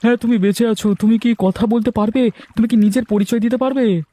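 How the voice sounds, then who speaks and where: A man's voice: sits high, fairly even intonation, fairly quick, dark tone, no room sound. A man voicing a helpful, exclaiming cartoon fisherman. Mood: excited concern. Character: animated, helpful river fisherman. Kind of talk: cartoon dubbing.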